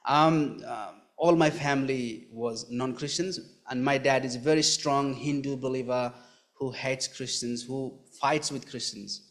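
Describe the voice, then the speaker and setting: A man from Nepal with a Nepali accent, telling his personal story in a live interview in an earnest, halting way, repeating phrases. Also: medium pitch, steady tone. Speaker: guest giving his testimony.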